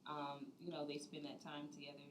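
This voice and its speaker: Low-voiced young woman, earnest. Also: completely flat delivery, fairly quick, echoey room, a little hiss or hum, low-quality recording. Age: 20s. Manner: earnest, explains with lots of "like". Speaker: guest. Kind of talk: casual dinner-table chat. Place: dining room at a dinner table.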